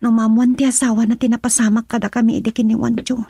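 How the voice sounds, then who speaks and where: A woman's voice: on the high side, flat delivery, fast, mellow, husky, dry recording, studio quality. A woman with an Ilocano accent playing a fretful grown daughter in a radio drama. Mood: uneasy. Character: fretful grown daughter questioning her mother.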